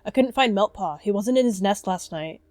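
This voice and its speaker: A woman's voice: medium pitch, lively intonation, normal speed, a little mellow, no room sound, pitched above the performer's own voice, close to an everyday voice. Woman voicing an anxious young apprentice cat. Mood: worried.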